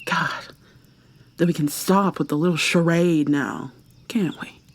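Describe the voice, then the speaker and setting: Woman acting a slow, breathy, menacing obsessive lover. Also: low-pitched, steady tone. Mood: taunting menace. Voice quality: soft, breathy, often dropping to a whisper. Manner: slow, deliberate, mock-sweet and threatening. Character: obsessive, menacing female serial killer lover, coldly possessive. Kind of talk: intimate ASMR roleplay monologue.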